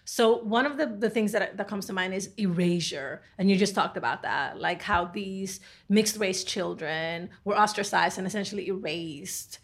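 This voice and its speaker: A woman's voice: mid-range voice, moderate pace, hardly any pauses. A woman hosting a podcast, asking earnest, reflective questions of her guest. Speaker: podcast host. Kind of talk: podcast interview.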